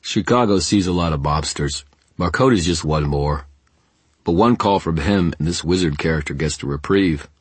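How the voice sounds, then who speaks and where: Guy doing a hot-headed mob goon for an audiobook, harsh and husky, sneery, pushy, dry close sound. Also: sits low, moderate pace, no echo. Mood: scornful challenge. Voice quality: harsh, not nasal. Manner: sneering, coarse, belligerent. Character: brutish sneering male mobster thug, hot-headed and defiant.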